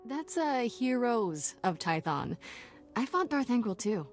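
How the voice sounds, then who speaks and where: An actress playing a brisk, skeptical young Jedi companion in a video game. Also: female voice, on the high side, very animated, soft background music. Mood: brisk, proud. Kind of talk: video game cutscene acting.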